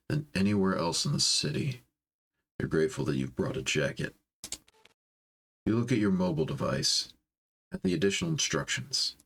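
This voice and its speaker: A man's voice: low-pitched, fairly bright tone, a little hiss or hum. A man hosting a storytime game playthrough, reading narration aloud and chatting casually. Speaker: host reading a visual novel aloud.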